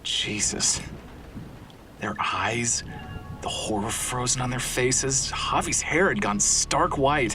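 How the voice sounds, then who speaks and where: A man's voice: mid-range voice, takes it slowly, music in the background, close to an everyday voice. A man playing an earnest, anxious archaeologist's assistant with a wry streak in an audio drama. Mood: shaken. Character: male archaeologist's assistant, anxious, deferential, wryly sarcastic.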